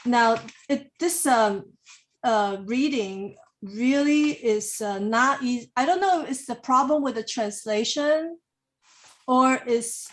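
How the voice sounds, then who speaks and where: A woman whose first language is Mandarin Chinese, sharing her thoughts in a discussion group with hesitant, filler-heavy English. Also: on the high side. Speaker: reading group member. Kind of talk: casual discussion.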